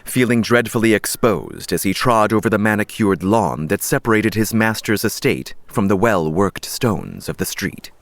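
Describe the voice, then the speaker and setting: A man narrating a fiction audiobook in a measured, dramatic storytelling style. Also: lowish voice, dry recording.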